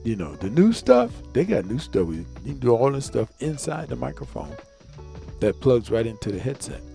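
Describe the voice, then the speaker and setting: A man's voice: mid-range voice, very animated, normal speed, creaky, dark tone, soft background music. A man giving a casual step-by-step tutorial as a video creator, rambling and often repeating himself.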